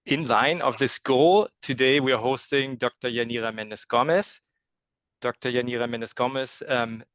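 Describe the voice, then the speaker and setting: A man's voice: mid-range voice, a bit bright, no room sound, highs cut off. Hesitant man introducing a lecturer. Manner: hesitant, many ums and uhs. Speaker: host of a science lecture. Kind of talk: formal introduction of a speaker. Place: online lecture event.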